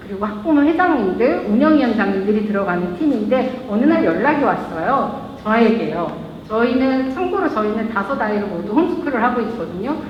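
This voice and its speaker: A woman's voice: fairly high pitch, slight background noise. A woman, a local brand founder, giving a chatty stage talk and joking with the audience. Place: event hall. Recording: echoey hall, lo-fi live recording.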